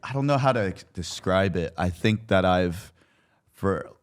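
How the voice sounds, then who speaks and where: A man with a deep, low voice speaks as a guest in a casual conversation. He is candid and self-reflective, hesitating as he finds his words. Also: expressive, a little slow, clean tone. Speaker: podcast guest.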